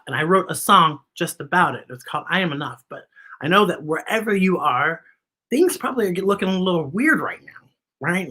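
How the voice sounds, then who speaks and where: An American woman hosting a children's story time, reading aloud and chatting in an expressive, encouraging way straight to young viewers. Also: on the low side, breathy edge. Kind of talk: live read-aloud with chatty commentary.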